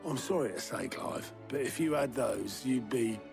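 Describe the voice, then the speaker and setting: A gravelly British middle-aged man in a fantasy game. He is gruff and colloquial, with a dry teasing edge at an ordinary male pitch. Music plays clearly behind him. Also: lively intonation, moderate pace. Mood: wry, sober. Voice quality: gravelly, creaky. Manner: blunt, colloquial, dryly teasing. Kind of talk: cinematic video game acting.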